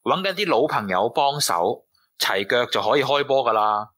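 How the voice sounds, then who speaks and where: A man's voice: mid-range voice, expressive, normal speed, a bit bright. A man acting a cagey, streetwise informant in a radio drama, using slangy Hong Kong Cantonese. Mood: cagey, knowing.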